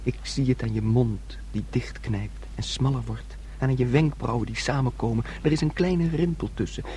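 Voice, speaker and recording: An actor playing a naive, simple nineteen-year-old son in a radio drama, speaking in a guileless, childlike, earnest way. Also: male voice, mid-range voice, moderate pace, mellow, slight background noise, natural voice, rough recording. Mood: tender, earnest.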